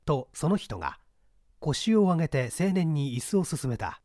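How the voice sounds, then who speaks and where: A man narrating a mystery story aloud in a theatrical, expressive reading style. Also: a little high, a little slow, no room sound, studio quality. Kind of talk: scripted audiobook narration.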